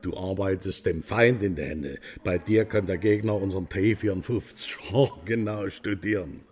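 An actor in a radio drama playing a self-satisfied, boastful East German painter with a declamatory manner. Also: male voice, low voice, moderate pace, darkish tone, lightly acted. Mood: jovial, proud. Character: boastful East German painter, jovial party-loyal man.

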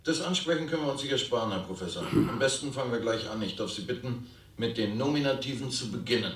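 An actor in a German radio play, playing a polite, pedantic radio editor with dry, quiet malice and formal, exact speech. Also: male voice, medium pitch, fast talker, breathy edge, close to an everyday voice. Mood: courteous.

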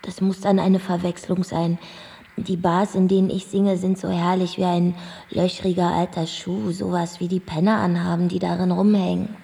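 A woman's voice: on the low side, level tone, moderate pace, gravelly, mellow, big-room sound. An actress playing a brash, worldly-wise female bar singer in a German radio drama, with a blunt, flippant and dry delivery. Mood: dry, dismissive.

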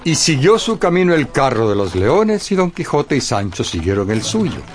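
A man's voice: mid-range voice, very animated, moderate pace, faint music behind. A man narrating a radio story in a theatrical, scripted style with suspenseful phrasing.

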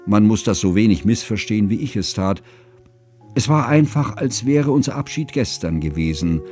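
An actor playing a cultured, devoted friend who narrates a literary radio drama in a measured, precise and formal way. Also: male voice, on the low side, darkish tone, close to an everyday voice. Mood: reflective. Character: cultured middle-aged male narrator, devoted, bookish friend.

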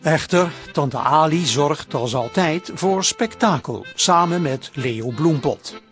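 Slow-paced male serial announcer over music. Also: on the high side, lively intonation, a bit bright. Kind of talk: scripted radio serial narration.

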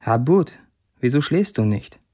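An actor playing a brash young Syrian writer, delivering an intimate first-person monologue in a radio drama. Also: male voice, medium pitch, expressive, measured pace, mellow, natural voice. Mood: candid, intimate. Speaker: lead actor reading a writer's status posts.

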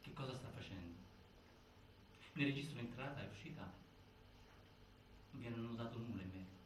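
A man performing as a solemn theatre narrator, reciting a case file in formal, deliberate, declamatory phrases. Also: mid-range voice, natural voice. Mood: grave, insistent. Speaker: narrator in a stage inquiry play. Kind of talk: scripted theatrical documentary narration. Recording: echoey, distant, faint noise.

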